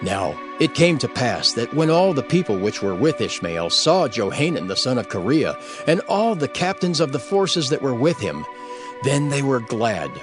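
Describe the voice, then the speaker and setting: A man's voice: medium pitch, sing-song, normal speed. A man narrating scripture from a script in a formal, solemn storytelling manner. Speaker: audio Bible narrator. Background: faint music.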